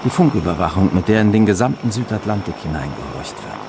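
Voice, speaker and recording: Low-voiced, lively investigator narrator. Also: male voice, quick pace, vocal fry, noisy background, heavily acted. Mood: observant, descriptive. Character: observant, wry male investigator telling his own story. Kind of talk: first-person crime drama narration.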